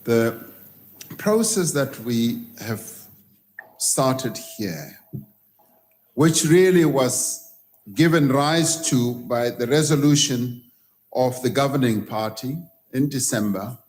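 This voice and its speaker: A man replying formally to a question in parliament, measured and polite. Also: mid-range voice, darkish tone. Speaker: president answering in parliament.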